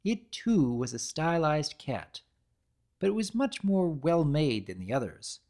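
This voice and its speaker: Animated man narrating a story. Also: on the high side, measured pace. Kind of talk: dramatic reading of fan fiction, scripted storybook narration.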